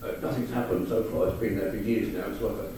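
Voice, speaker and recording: English resident, low and mellow, halting, heard from afar in a reverberant room. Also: male voice, fairly even intonation, a little hiss or hum. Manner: halting, many fillers and restarts. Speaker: resident asking a question. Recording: echoey hall, distant mic.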